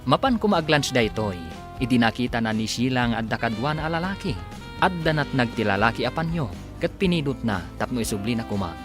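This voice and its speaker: A man's voice: mid-range voice, lively intonation, brisk. A man narrating and announcing a radio serial in a dramatic, scripted style. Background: music bed.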